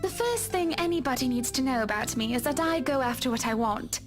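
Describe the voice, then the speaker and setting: Woman to play a confident, refined society lady; high-pitched, prim diction. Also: expressive, a little fast, over music. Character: poised, genteel wealthy woman, confident and fond. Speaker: game character. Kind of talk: voiced visual novel dialogue.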